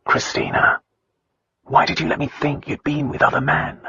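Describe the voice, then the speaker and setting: A narrator voicing an intense, possessive yet tender male lover in a romance audiobook, in breathy, intimate whispers. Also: mid-range voice, flat delivery, normal speed, bright, forceful tone, dry recording, highs cut off. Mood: questioning. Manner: urgent, intimate. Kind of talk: romance audiobook character dialogue.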